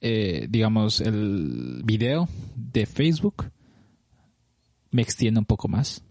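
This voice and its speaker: A young man hosts a relaxed podcast in a deep, warm voice. He hesitates and doubles back on words as he chats. The sound is dry and close. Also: slow pace, gravelly, no echo. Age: young adult. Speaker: podcast host. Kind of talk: casual podcast chat.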